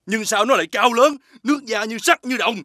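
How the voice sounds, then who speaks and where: A man voicing a jittery, alarmed henchman soldier in an animated fairy tale. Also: very high-pitched, fairly quick, no room sound, clean studio sound. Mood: awestruck, alarmed.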